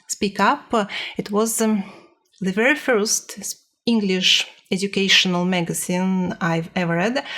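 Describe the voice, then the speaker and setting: A woman's voice: mid-range voice, fairly bright tone. A woman giving a casual personal report as a language learner, speaking hesitantly and a little nervously as she searches for words.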